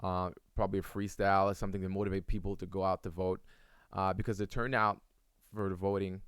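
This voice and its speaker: A young man, a native French speaker, talks casually to his chat in a low, smooth voice. He rambles, full of ums and restarts. The sound is close and dry. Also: level tone, fast talker, no echo. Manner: rambling, many fillers and restarts. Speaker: streamer answering chat. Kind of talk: casual live-stream Q&A.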